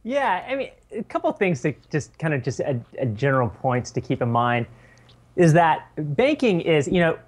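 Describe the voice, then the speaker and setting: A man's voice: on the high side, very animated, normal speed. A man co-hosting a podcast over the phone, explaining in a casual way and often adding "right?". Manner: casual and explanatory, adds tag questions. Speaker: podcast co-host.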